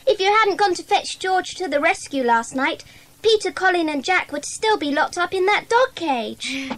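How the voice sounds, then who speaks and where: Woman as a high-voiced, kindly child. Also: expressive, moderate pace. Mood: solemn, kindly. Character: kindly, solemn, generous child club member. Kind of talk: dramatised children's audiobook acting. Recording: faint background noise.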